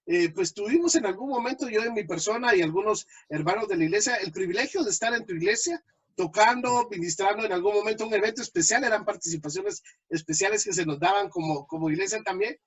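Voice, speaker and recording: High husky-voiced man hosting an interview. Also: fairly bright tone. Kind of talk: conversational interview.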